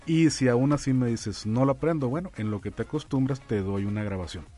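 A man's voice: mid-range voice, a little fast, dark tone. A man, a music teacher, explaining things conversationally as an interview guest. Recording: music playing behind the voice.

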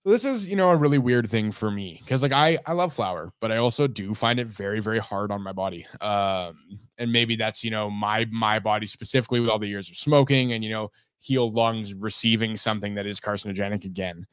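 Fast-talking gravelly man on a podcast. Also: fairly low pitch, fairly bright tone, dry recording. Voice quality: slightly gravelly. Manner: rambling, filler-heavy, earnest. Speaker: podcast host. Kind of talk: casual chat.

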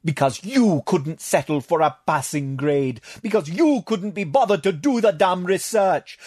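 A man voicing a furious fish-like male creature who scolds bluntly in a high, strained voice. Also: a little mellow.

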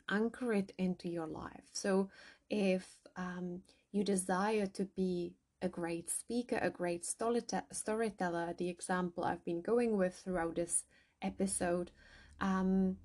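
Slow, measured non-native woman coach. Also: fairly low pitch, darkish tone. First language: not English. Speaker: hypnotherapist and coach. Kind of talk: guided journaling podcast.

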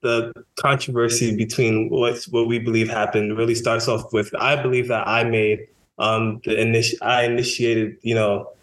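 A man from Brooklyn, a podcast guest speaking in a relaxed, hesitant way with many ums. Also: medium pitch, normal speed, low-quality recording. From Brooklyn, New York. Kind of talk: casual interview talk.

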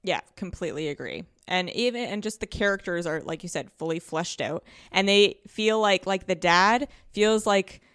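A woman with a very clear, smooth voice of medium pitch, recorded dry. She speaks in a casual, conversational way as a podcast guest. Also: normal speed, few pauses, fairly bright tone, no echo. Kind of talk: casual chat.

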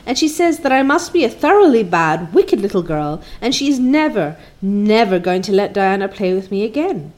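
A woman's voice: very animated, moderate pace, hardly any pauses, a little mellow, natural voice. A woman voicing a dramatic, tearful young orphan girl who wails and sobs theatrically. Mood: wailing. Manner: wailing, theatrical, high and sobbing.

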